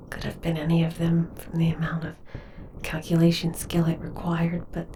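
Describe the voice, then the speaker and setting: A woman voicing a wounded, wry robot flier soldier in an audio drama, weakened, strained and breathy. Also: lowish voice, mellow, distant mic, a little hiss or hum. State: injured, weakened.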